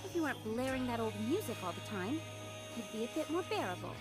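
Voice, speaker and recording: A woman voicing a reserved young woman in a video game, guarded but quietly fond. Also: on the high side, sing-song, fast talker. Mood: subdued, guarded. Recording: music behind the voice. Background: music.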